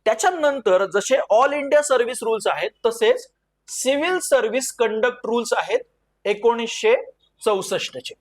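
A man lecturing in an explanatory way, mixing in English terms and slowing down emphatically for key phrases and quotations. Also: extremely high pitch. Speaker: civil services exam lecturer.

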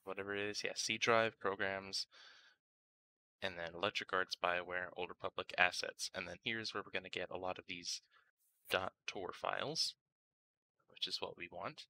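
A man narrating a casual step-by-step software tutorial, informal and thinking aloud as he works. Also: low voice, fairly even intonation, moderate pace, poor audio. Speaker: tutorial host.

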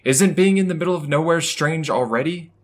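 A man's voice: on the high side, fairly quick, close to an everyday voice. A man playing an impatient, blunt space traveler in an audio drama, sounding exasperated.

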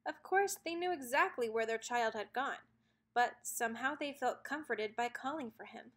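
Lady reading a kids picture book out loud, kinda high voice, expressive storytelling. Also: moderate pace. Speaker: children's book read-aloud narrator.

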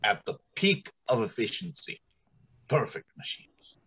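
Husky, animated, self-assured director played by a man. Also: on the low side, unhurried, heavily acted, rough recording. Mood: breezy assurance. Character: confident, dismissive factory director and husband. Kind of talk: old-time radio drama acting. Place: small room at home, on a video call.

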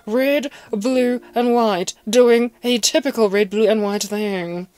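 Sarcastic woman vlogger. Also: fairly high pitch. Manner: sarcastic, mocking, acts out voices. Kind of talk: animated monologue to camera.

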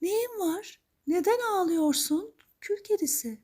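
A woman voicing a kindly, brisk fairy godmother from a storybook, giving gentle, clear instructions. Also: very high-pitched, animated, voice pushed up. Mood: gentle concern.

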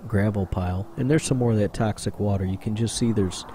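A man's voice: lowish voice, brisk, dark tone, a little hiss or hum. A man doing casual voice-over narration for his own exploring video, rambling and chatty with plenty of fillers. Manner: rambling, conversational, with many fillers. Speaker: urban explorer vlogger.

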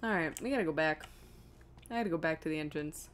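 A woman's voice: deep voice, fast, slight background noise. A woman giving casual live commentary as she streams a video game, muttering to herself in an exasperated, self-deprecating way.

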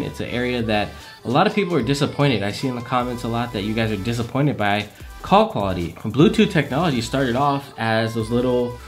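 A young man talks through a gadget review from his desk at home, relaxed and conversational. He leans on repeated words for emphasis, with faint music underneath. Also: medium pitch. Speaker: tech reviewer. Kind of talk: casual product review to camera. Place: small home room with desk and monitors.

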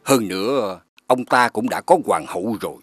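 An actor playing a cautious, deferential royal adviser who speaks formally in an animated fairy tale. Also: male voice, on the low side, normal speed. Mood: worried, deferential. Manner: formal, respectful. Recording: clear music behind.

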